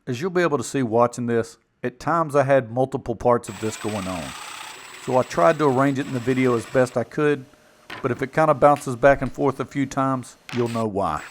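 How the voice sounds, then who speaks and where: A man's voice: medium pitch, moderate pace, a little hiss or hum. A man casually narrating his own build project in a plainspoken, folksy, self-deprecating way. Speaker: woodworking video maker and narrator.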